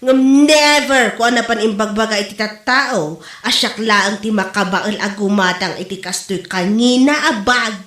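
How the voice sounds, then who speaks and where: A woman's voice: medium pitch, moderate pace, fairly bright tone, natural voice. A woman with an Ilocano accent playing a proud, indignant wife in a comedy drama, boasting and scolding. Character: proud, indignant wife boasting of her genuine luxury bag. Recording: roomy, echoing sound.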